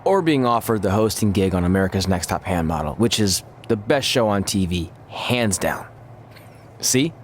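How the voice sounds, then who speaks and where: A lowish, casual male voice from an actor as a good-natured pro wrestler in a video game cutscene. He jokes deadpan in a conversational way, over faint background noise. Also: moderate pace, lightly acted. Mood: easygoing, joking.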